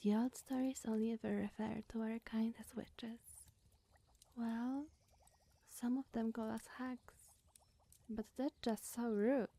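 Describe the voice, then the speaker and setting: Soft, breathy, slow-talking young witch acted by a woman. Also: fairly high pitch, fairly even intonation. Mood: sheepish, confessing. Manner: coy, flustered, rambling with musing hums. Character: sweet, bashful, scatterbrained young female witch. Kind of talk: ASMR roleplay, soft and partly whispered.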